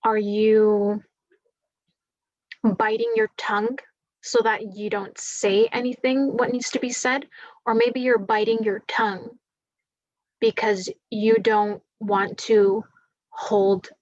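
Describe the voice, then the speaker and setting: A woman with a groggy voice giving a spiritual forecast, talking slowly and wandering with many fillers. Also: medium pitch, level tone, darkish tone, no room sound, dull audio. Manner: slow, meandering, many fillers. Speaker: spiritual reader.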